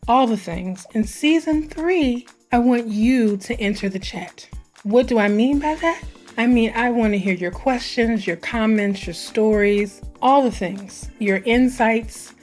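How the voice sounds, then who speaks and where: A woman hosting her own podcast, her voice hoarse and scratchy, talking in an animated, conversational way and drawing out words for emphasis. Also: medium pitch. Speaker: podcast host. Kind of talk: solo podcast intro.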